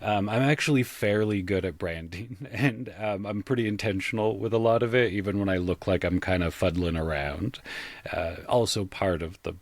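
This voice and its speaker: A man's voice: on the low side, normal speed, dry recording. A man speaking as a podcast guest, telling stories casually in a wry, self-deprecating, informal way. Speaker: podcast guest, audio drama creator. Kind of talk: casual interview storytelling.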